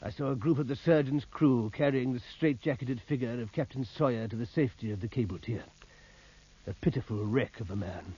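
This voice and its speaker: A man's voice: mid-range voice, gravelly, darkish tone, slightly rough, dry recording. An actor playing a British naval officer who narrates his memories in grave, literary 1950s radio drama style. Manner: literary, grave, precise storytelling. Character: male naval officer recalling battle as narrator. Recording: muffled vintage radio recording.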